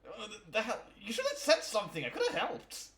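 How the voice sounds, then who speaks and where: A man's voice: high-pitched, huge pitch swings, brisk, fairly bright tone, reverberant, pitched above the performer's own voice, heavily acted. A man voicing an earnest, awkward teenage boy with hesitant delivery in a video game fandub. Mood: startled, flustered.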